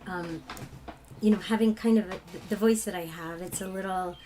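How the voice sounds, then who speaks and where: A woman from England with a low, husky voice, a singer telling personal stories in a reflective conversation. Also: steady tone, normal speed, warm tone, a little hiss or hum. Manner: reflective, laughs often while telling stories. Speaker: kirtan singer.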